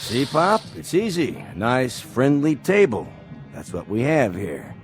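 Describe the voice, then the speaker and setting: An actor playing a cool, shrewd card player with a hidden soft streak, talking in clipped, casual lines in a vintage television drama. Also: male voice, mid-range voice, huge pitch swings, unhurried. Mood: easy, smooth. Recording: old TV soundtrack with music underneath.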